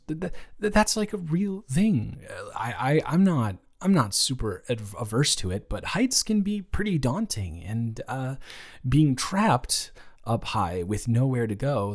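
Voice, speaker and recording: A man's voice: medium pitch, darkish tone. A man playing a caring, awkward young roommate in an improvised intimate audio roleplay, speaking casually with rambling, stammering, reassuring hesitation. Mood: earnest, sympathetic.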